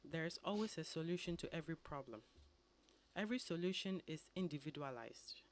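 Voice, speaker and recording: A woman with a Ghanaian accent, an immigration attorney answering questions calmly and methodically as a radio studio guest. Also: low voice, slight background noise. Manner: measured, explanatory.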